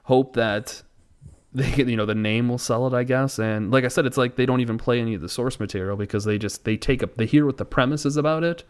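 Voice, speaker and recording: A man's voice: medium pitch, fast, smooth. A man with a mid-range voice chats casually as a podcast co-host. He rambles through his complaints, sounding incredulous and exasperated. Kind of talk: casual chat, film ranting.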